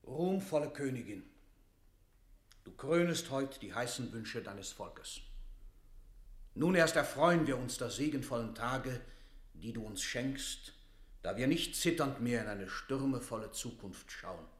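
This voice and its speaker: A man's voice: medium pitch, lively intonation, a little slow, echoey room, lightly acted. An actor playing a stern, zealous lord advising a queen, formal and insistent, in a classical radio play. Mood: solemn flattering.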